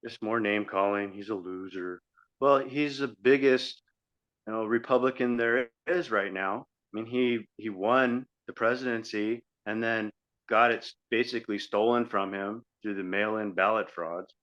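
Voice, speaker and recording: A man's voice: lowish voice, normal speed, fairly bright tone. A man co-hosting a podcast, giving argumentative, critical political commentary in a conversational style. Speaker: podcast co-host. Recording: dull lo-fi audio.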